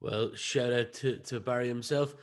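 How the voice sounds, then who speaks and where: Medium-pitched Irish male podcast host. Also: steady tone, moderate pace. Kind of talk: conversational podcast interviewing.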